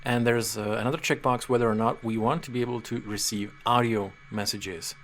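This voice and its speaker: Patient man explaining software. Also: medium pitch, fairly even intonation, fairly quick, slight background noise. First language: Czech. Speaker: software tutorial presenter. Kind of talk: screen-reader software walkthrough.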